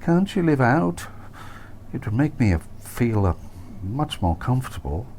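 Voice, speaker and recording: A man acts out a peevish, demanding wife in an audiobook. The voice is mellow and exasperated, full of complaint, over faint noise. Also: medium pitch, big pitch swings, a little slow, pitched above the performer's own voice. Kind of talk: audiobook character dialogue.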